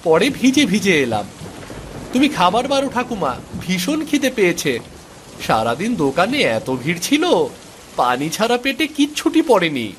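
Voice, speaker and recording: A man's voice: sits high, sing-song, moderate pace, slight background noise. A man voicing a good-natured, humble young village shop boy in a cartoon, eager and affectionate. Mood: lively, hungry. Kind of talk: cartoon voice acting. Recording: music under the voice.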